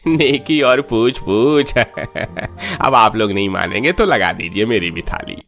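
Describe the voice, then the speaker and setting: Cartoon priest matchmaker played by a man: food-loving flatterer, very animated delivery, theatrical exclamations, music underneath. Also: mid-range voice, slow, creaky, full character voice. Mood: delighted. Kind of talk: Hindi cartoon story voice acting.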